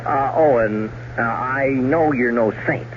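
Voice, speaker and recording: An actor playing a nervous, doubting younger brother in a 1970s radio drama, hesitant and stammering. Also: male voice, medium pitch, slow pace, natural voice. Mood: uneasy. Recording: dull, noisy old radio broadcast recording.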